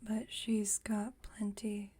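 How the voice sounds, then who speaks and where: A woman plays a female vampire roommate in an intimate ASMR roleplay. Her voice is a soft, breathy whisper with a dark, mellow tone. She chats at a slow, unhurried pace with gentle wry exasperation. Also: medium pitch, little pitch movement. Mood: explaining. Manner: unhurried, conversational, lightly wry. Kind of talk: ASMR roleplay, intimate one-sided chat.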